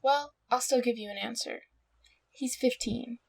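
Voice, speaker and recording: A woman's voice: a little high, a little slow, gravelly. A young woman playing a defiant, protective eighteen-year-old big sister in a fan dub, with a sassy, exasperated manner. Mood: annoyed, defiant. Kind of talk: fan-dub dramatic acting.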